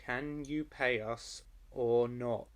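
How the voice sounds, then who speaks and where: A man's voice: medium pitch, fairly even intonation, takes it slowly, lightly acted. A man playing an officious, smug government official in a comic audio drama, pompous and unbending.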